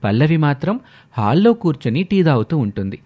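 A man's voice: on the high side, very animated, a little fast, highs cut off, very noisy. A man narrating a scripted family story as a storyteller.

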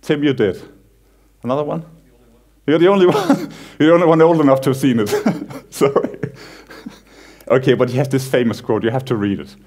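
A man in his thirties with a Danish accent, giving a relaxed conference talk in a cinema hall with dry jokes and halting restarts. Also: mid-range voice. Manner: relaxed, self-deprecating humor with frequent halting restarts. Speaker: conference speaker. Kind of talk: conference talk, casual and joking. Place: large cinema hall on stage.

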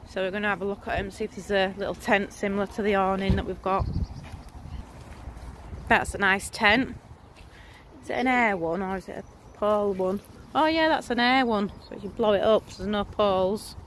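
A woman's voice: medium pitch, normal speed, pauses often, a little hiss or hum. A woman, chatting casually to a phone camera held at arm's length. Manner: chatty, informal, lively. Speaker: vlogger. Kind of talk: casual vlog chat. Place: home, then outdoor shop tent display.

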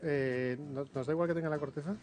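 A man hosting a television cooking show, teasing his guest and firing off quick questions in light banter. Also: a little high, steady tone, darkish tone. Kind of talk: playful banter. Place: TV studio kitchen. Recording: clear background music.

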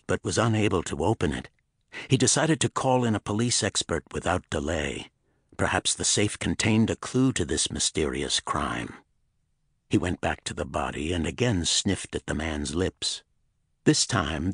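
Male audiobook narrator for a dramatic crime pulp: low register, husky grain, close dry recording. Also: no echo.

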